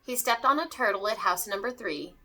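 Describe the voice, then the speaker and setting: A woman reading a rhyming children's story aloud with expressive storytelling delivery. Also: medium pitch, brisk.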